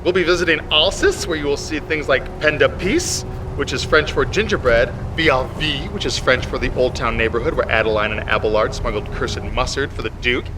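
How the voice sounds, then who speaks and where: A man's voice: mid-range voice, a little fast, few pauses, natural voice. A man playing a chipper, hapless bus tour guide in an audio drama comedy, announcing with sing-song showmanship. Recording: clear vehicle and outdoor noise.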